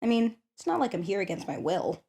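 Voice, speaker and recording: A woman's voice: medium pitch, very animated, brisk, creaky, warm tone. A woman playing a shy but eager popular schoolgirl in an ASMR roleplay, chatting hesitantly and thinking aloud. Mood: flustered. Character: shy, eager popular schoolgirl curious about tabletop games. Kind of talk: ASMR roleplay, one-sided conversation.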